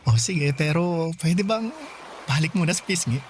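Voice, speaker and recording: A man's voice: a little high, animated, normal speed, noisy background, lightly acted. An actor playing an eager, impatient teenage boy courting a girl in a Filipino radio drama, with a Filipino accent. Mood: coaxing.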